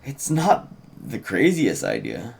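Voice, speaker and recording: A man's voice: lowish voice, huge pitch swings, slow, vocal fry, a little mellow, breathy edge, full character voice. A man reading the part of a supportive, hopeful teenage girl in a fantasy script, earnest and encouraging.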